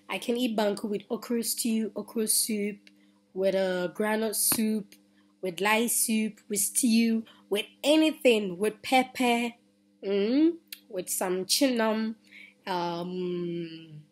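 A young woman with a Ghanaian accent talks casually to her webcam in a small room, chatty and unhurried, with soft asides. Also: mid-range voice. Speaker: YouTuber. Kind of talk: casual chat to camera.

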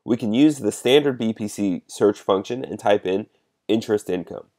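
A man giving a measured, explanatory step-by-step narration as a software demonstrator. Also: fairly low pitch.

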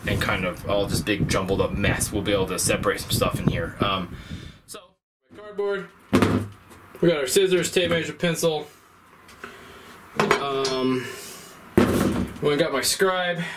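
A man's voice: mid-range voice, very noisy. A man in his forties chatting casually through a boat restoration project, easygoing and self-deprecating, with plenty of ums, talking close to the camera in a small sailboat cabin. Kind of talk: casual how-to vlog talk. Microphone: camera close to the face.

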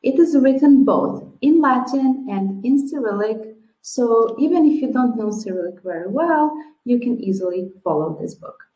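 A woman in her thirties with a Serbian accent, introducing her language lessons to camera, slow and clear, sounding out words one at a time. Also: on the high side, animated, dark tone. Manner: slow and clear, with careful word-by-word modelling. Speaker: language teacher. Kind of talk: teacher's introduction video. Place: small room at home, classroom posters behind. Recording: echoey room.

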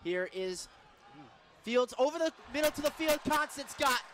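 An American man doing live football play-by-play on the radio, with a very high, bright voice. His delivery is excitable and emphatic, the classic announcer following every snap. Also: moderate pace. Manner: excitable, builds to emphatic calls on big plays.

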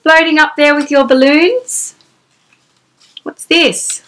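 A woman's voice: sits high, fairly even intonation, slow pace, fairly bright tone. A woman in her thirties presenting a children's storytime, reading aloud in an exclamatory, sing-song way and putting questions to the young listener. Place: small decorated room indoors.

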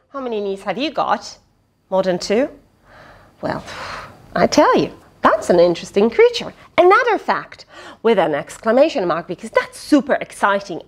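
Brief: woman children's storyteller, high voice, hugely varied intonation, unhurried teacherly delivery. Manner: expressive, questioning, teacherly. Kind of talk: animated picture-book read-aloud with asides to children. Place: small indoor fabric tent with cushions.

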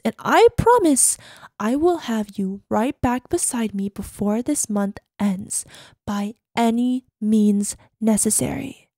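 A very high, dry, close female voice, put on by an actress as an obsessive yandere girlfriend. She is sweet but unstable, speaking dramatically in an intimate ASMR roleplay. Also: dark tone, no echo. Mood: menacing. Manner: dramatic, drops into breathy whispers, cloying pet names.

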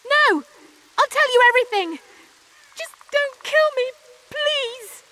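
Shrill, desperate accused elf woman. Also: expressive, slow pace, sharp tone, heavily acted. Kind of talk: video game voice acting.

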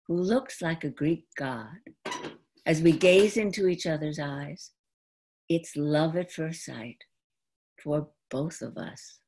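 Slow, low-voiced older woman telling a story. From New York, United States. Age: older, around 70. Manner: expressive, deliberate, acted-out delivery. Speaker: stage actress performing an autobiographical monologue. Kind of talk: dramatic theatrical monologue storytelling. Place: indoor room at home, before a folding screen. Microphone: computer video call microphone.

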